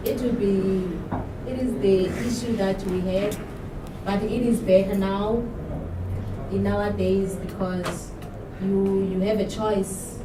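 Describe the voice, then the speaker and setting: A woman, speaking in a halting way that repeats words. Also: mid-range voice, steady tone, distant mic. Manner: repetitive, halting. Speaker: class participant. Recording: echoey room from afar, noisy.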